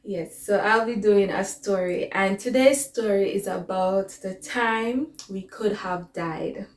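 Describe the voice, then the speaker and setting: A woman's voice: mid-range voice, slow, a little hiss or hum. A young woman with a Caribbean accent chats casually to her viewers as a vlogger, telling a story in an informal, digressive way. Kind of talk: casual storytime chat to camera. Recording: echoey, roomy sound.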